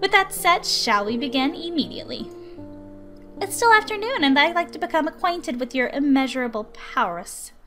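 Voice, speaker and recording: Visual novel tutor: high-pitched, measured, courteous woman playing a scholarly young teacher, music underneath. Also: lively intonation, fairly bright tone. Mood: exasperated, determined. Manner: polite, measured, earnest. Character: patient, formal woman researcher acting as substitute tutor. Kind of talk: visual novel character reading.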